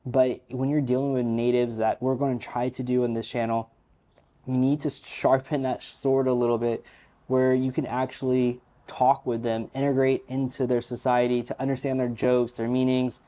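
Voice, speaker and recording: A man's voice: medium pitch, little pitch movement, moderate pace, warm tone. A man speaking English as his first language, giving a frank, opinionated, unscripted talk to camera, rambling with fillers. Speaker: vlogger. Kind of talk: unscripted casual monologue.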